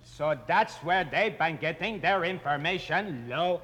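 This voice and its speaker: A man playing a cheeky soldier with a husky voice. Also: a little high, a little slow, bright, forceful tone, in a large hall. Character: foreign-accented male soldier, chatty and cheeky.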